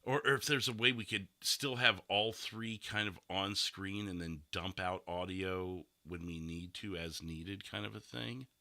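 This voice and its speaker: A man's voice: sits low, fairly even intonation, a little slow, gravelly, hardly any pauses, pushed and bright, no room sound, studio quality. A man hosting a casual livestream podcast, chatting in a rambling way and reading out viewer comments. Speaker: podcast host and streamer.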